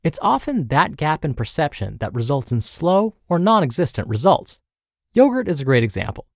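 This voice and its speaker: A male host reads a blog post for a podcast in a presenter-like way. His voice sits slightly high and moves animatedly up and down, with some creak at the edges. The phrasing is clear and scripted. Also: big pitch swings, normal speed, no room sound, clean studio sound. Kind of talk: reading a health blog aloud, scripted narration.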